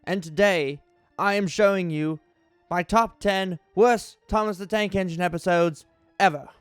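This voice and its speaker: Man doing a high-pitched, melodramatic aggrieved fan reviewer. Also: fairly even intonation, clean tone, dry recording, soft background music. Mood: grave disapproval. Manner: melodramatic, halting, heavy emphasis. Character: aggrieved, earnest cartoon fan solemnly reviewing episodes. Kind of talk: mock-dramatic reading of an online review.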